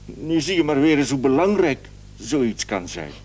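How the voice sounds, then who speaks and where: A man's voice: medium pitch, sing-song, moderate pace, a little mellow. An actor playing an anxious, ailing husband in a radio drama, stammering and hesitant as he frets. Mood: worried, helpless. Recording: noisy old radio play recording.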